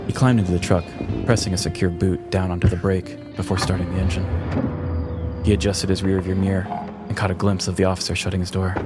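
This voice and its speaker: An American man with a low voice hosts a podcast, moving between scripted storytelling and casual talk. Music plays clearly behind him. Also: little pitch movement, brisk. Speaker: podcast co-host. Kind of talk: scripted true crime narration and casual banter.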